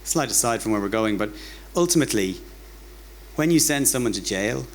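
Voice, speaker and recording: A man's voice: on the low side, lively intonation, brisk, slight background noise. An Irish-accented man giving an informal public lecture in a conversational, wry way, often repeating words as he goes. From Ireland. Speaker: academic giving a public talk. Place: bar hosting a talk night.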